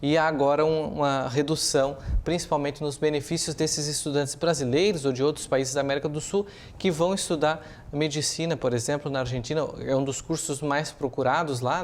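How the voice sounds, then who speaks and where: A man's voice: fairly high pitch, fairly even intonation, moderate pace. A man with a Brazilian accent giving opinionated, explanatory news commentary as a journalist, speaking semi-improvised and posing rhetorical questions.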